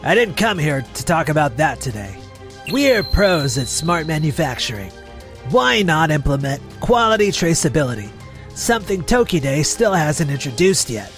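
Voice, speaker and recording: An arrogant, easily rattled young manager from a manga romcom dub, acted by a man. His voice sits slightly high for a male and turns indignant and defensive. Soft music plays underneath. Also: sing-song, normal speed. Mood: scornful, indignant. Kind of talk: dramatized manga story dub.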